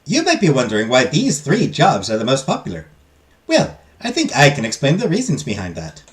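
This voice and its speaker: A man's voice: medium pitch, huge pitch swings, brisk. A man voicing a prim female teacher at a magical-girl academy in a put-on Spanish-like accent, reading formal game dialogue. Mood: lecturing. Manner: formal, lecturing, dramatic.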